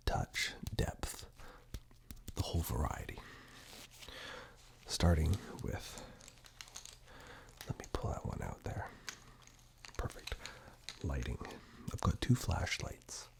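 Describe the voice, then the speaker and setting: A man with an extremely low, crackling voice performs ASMR roleplay. He drifts between a murmur and a breathy whisper, close to the microphone. His delivery is unhurried and reassuring, as if looking after the listener one to one. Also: lively intonation. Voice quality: breathy whisper mixed with very deep, gravelly murmur. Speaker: ASMR performer playing caregiver and eye examiner roles. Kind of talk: soft-spoken ASMR roleplay, half whispered. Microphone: close binaural microphone.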